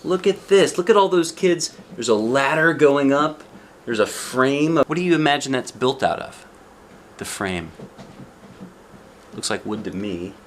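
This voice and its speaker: A man reads a children's storybook aloud at an unhurried pace, with lively swings in pitch. He breaks off for chatty asides and questions to the child listening. There is noticeable background noise. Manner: expressive, asks the young listener questions. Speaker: storybook reader.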